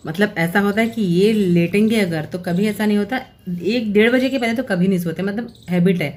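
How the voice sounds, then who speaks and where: A woman's voice: medium pitch, fairly quick, a little mellow. Chatty woman vlogging at home. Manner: talkative, explains at length, rambling asides. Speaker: family vlogger. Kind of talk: casual chatty vlog.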